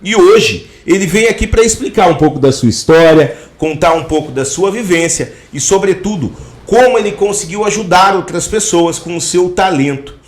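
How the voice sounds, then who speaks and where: A man's voice: sits high, animated, moderate pace, echoey room. A man hosting a podcast interview, introducing his guest in effusive, inspirational phrasing. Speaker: podcast host.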